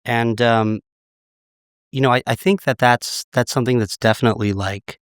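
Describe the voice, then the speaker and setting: A man in his late thirties, a podcast guest, slightly raspy from a recent illness, talking in a relaxed, reflective way. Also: medium pitch, moderate pace, dry recording, clean studio sound. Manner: relaxed, reflective, many "like" fillers. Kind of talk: casual interview chat.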